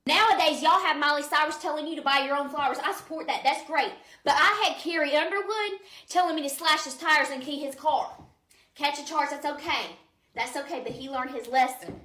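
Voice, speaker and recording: Bright high-voiced woman in echoey room. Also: brisk.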